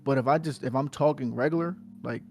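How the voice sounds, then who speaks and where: A man's voice: medium pitch, quick pace, a little hiss or hum. A man with a New Jersey accent co-hosting a casual podcast, talking insistently and repeating himself to make his point. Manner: insistent, repeats "I'm telling you, bro". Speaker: podcast co-host.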